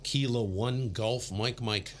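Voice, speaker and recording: A man's voice: takes it slowly, background noise. A man hosting an amateur radio livestream, talking casually in a laid-back, rambling way. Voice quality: low voice. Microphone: big studio condenser.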